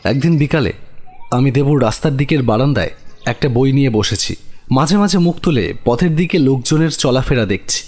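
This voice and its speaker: A man's voice: mid-range voice, lively intonation, normal speed, a little mellow. A man giving scripted narration of a horror story. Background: music.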